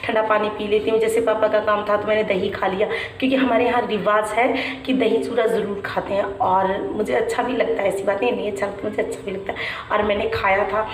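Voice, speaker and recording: A young woman vlogger, her voice worn by a sore throat, talking softly and haltingly to her viewers from home. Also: medium pitch, flat delivery. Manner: soft, halting, reflective; frequent restarts with "matlab". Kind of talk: personal vlog talking to viewers. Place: room at home. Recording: echoey room, mic at a distance, faint hum.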